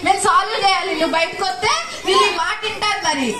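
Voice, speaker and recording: A woman hosting a live children's puppet show, asking animated, repeated questions to engage the young audience. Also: very high-pitched, fast. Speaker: puppet show host talking with the puppet. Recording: echoey, noisy hall, distant mic.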